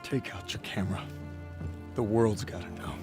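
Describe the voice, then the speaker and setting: An actor voicing a loyal, determined young World War Two corporal in a game, earnest and resolute. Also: male voice, on the low side, moderate pace, lightly acted. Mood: grim. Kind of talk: cinematic game acting. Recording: music behind the voice.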